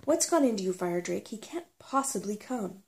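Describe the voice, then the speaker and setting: A woman voicing a bad-tempered, scornful young female brownie in a storybook, gruff and grumbling. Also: mid-range voice, lively intonation, moderate pace, darkish tone, lightly acted. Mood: indignant. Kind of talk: whispery storybook character reading.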